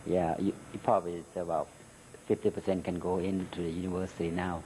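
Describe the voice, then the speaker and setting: Deep-voiced man with a Thai accent. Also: flat delivery, warm tone, slight background noise, low-quality recording. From Thailand. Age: middle-aged. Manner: halting, many "uh" and "you know" fillers, laughs between answers. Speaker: interview guest. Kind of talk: recorded interview.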